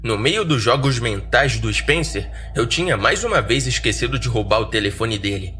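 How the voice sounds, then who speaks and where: A man's voice: medium pitch, lively intonation, normal speed, sharp tone. A Brazilian man narrating a scripted horror story in the first person as a podcast narrator. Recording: roomy echo, faint music behind.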